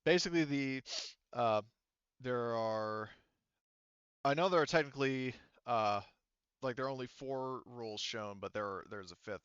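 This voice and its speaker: A man's voice: mid-range voice, fairly bright tone, clear, resonant tone, no echo, lo-fi sound. A man explaining tabletop game rules as game master, casually rambling and thinking aloud.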